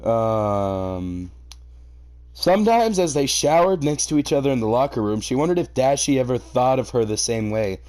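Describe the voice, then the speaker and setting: Man, medium pitch, very lively intonation, comedic read-aloud host, mocking and exasperated, swears freely. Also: moderate pace. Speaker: host reading a fan fiction aloud and reacting. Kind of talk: comedic reaction reading, narration broken by commentary.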